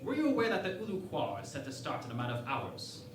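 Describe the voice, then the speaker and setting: An actor playing a vain, cocky, clueless starship captain in a sci-fi parody radio play, with a grandiose, self-assured delivery. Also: male voice, mid-range voice, wildly swooping pitch, quick pace, soft background music. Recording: echoey room, distant mic, faint noise.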